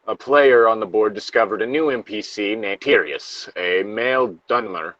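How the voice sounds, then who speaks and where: A man's voice: lowish voice, moderate pace, fairly bright tone, no room sound, dull audio. A man reading a horror story aloud as co-host, casually, with joking asides and the odd stumble. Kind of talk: casual co-reading of creepypasta with banter.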